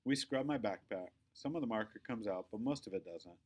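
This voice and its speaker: A man reading a children's story aloud with expressive, dramatic phrasing. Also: fairly low pitch, fairly quick, highs cut off. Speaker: audiobook-style reader.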